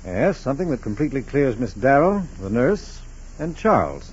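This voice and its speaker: A steady federal investigator from a 1940s radio drama, husky and businesslike. His pitch moves freely as he lays out facts with clipped deliberation. The old recording is dull and muffled. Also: moderate pace. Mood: reasoning. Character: steady, methodical male FBI special agent investigating a case.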